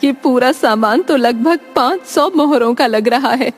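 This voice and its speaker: Woman playing a high, stern village mother. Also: measured pace, in a large hall. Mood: stern, suspicious. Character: stern, questioning village mother of two grown sons. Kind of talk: animated Hindi moral-story cartoon acting. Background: faint music.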